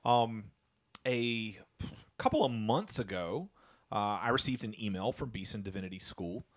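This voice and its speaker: A man's voice: lowish voice, animated, moderate pace. A man hosting a church podcast, introducing his guest in a friendly, rambling way. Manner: friendly, rambling, many fillers and restarts. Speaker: podcast host. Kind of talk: casual interview chat.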